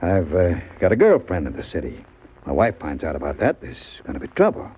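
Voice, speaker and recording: Edgy, husky, shady big man. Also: low-pitched, huge pitch swings, exaggerated voice. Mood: furtive, pleading. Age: 40s. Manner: edgy, insistent, halting. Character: big, nervous, sweating pool-parlor owner hiding guilt, turns menacing. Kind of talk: 1940s-50s radio mystery acting. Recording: muffled vintage radio recording.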